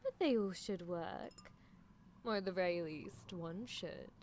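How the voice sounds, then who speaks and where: A woman's voice: lowish voice, measured pace, slight background noise. A woman playing a possessive, coolly unethical female mad scientist in a live ASMR roleplay, coaxing the listener with theatrical delivery. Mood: teasing, sly. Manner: languid, theatrical, coaxing, with soft chuckles.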